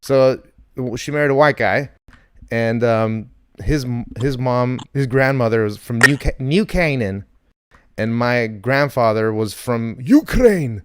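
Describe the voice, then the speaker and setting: A man's voice: medium pitch, animated, measured pace, smooth. A man hosting a comedy podcast, telling digressive anecdotes in casual chat. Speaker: podcast host.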